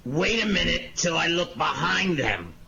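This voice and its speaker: A man playing a suspicious, sardonic police inspector in a 1940s-style radio detective drama, gruff and accusing. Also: a little high, big pitch swings, measured pace, bright, forceful tone, exaggerated voice. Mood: accusing, determined. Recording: dull, noisy lo-fi audio with clicks.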